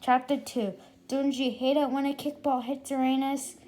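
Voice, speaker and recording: A halting narrator reading a children's book aloud, often repeating phrases and slipping into casual asides. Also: high voice, little pitch movement, a little mellow.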